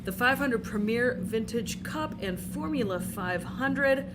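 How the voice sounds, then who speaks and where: A woman's voice: a little high, lively intonation, a little slow, heavy background noise. Upbeat, chatty woman race host. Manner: upbeat, chatty, laughing often. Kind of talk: casual behind-the-scenes race broadcast. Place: race control tower.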